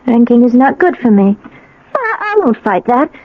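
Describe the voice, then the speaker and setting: High, expressive, brittle wife. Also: female voice, moderate pace. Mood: bitter, sardonic. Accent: American. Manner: theatrical, expressive. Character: wronged, anxious wife, brittle and emotional. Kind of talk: 1980s radio drama acting. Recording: dull lo-fi old radio recording.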